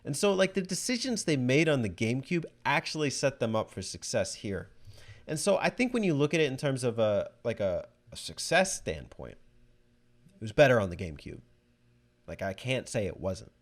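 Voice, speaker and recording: A man's voice: medium pitch, very animated, moderate pace. Male host, mid-range voice, conversational and off the cuff, casual gaming commentary. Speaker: host answering listener voicemails. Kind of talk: casual video game commentary.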